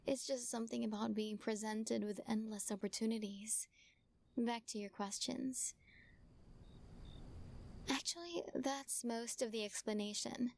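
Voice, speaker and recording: A woman voicing a sweetly possessive witch in an audio roleplay, talking softly and teasingly and often dropping into whispers. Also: fairly high pitch, steady tone, a little slow, vocal fry, long pauses. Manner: soft, teasing, coaxing, slips into whispers.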